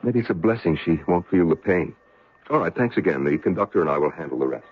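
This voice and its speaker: An actor playing a courteous, reassuring male train passenger in a radio drama, with a gravelly voice and a polite, hurried manner. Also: deep voice, lightly acted. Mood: brisk, concerned. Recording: muffled, noisy radio drama recording.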